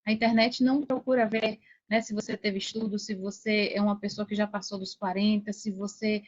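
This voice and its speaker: A woman's voice: mid-range voice, level tone, brisk. A Brazilian woman in her forties telling her story conversationally as a podcast guest, chatty and often checking for agreement with short tag questions. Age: 40s. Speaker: podcast guest, affiliate marketer. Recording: dull lo-fi sound.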